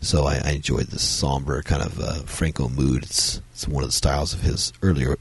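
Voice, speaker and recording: Podcast host, man, husky and strained from a cold, dry close sound, loose rambling delivery. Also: fairly even intonation, normal speed, no room sound. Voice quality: very deep, gravelly. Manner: rambling, many fillers and restarts. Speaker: podcast host reviewing films. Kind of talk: solo casual review talk.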